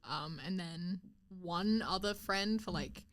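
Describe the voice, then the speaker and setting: A woman's voice: fairly low pitch, takes it slowly. A young woman co-hosting a podcast, chatting casually, laughing often and using lots of filler words. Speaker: podcast co-host. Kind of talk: casual chat.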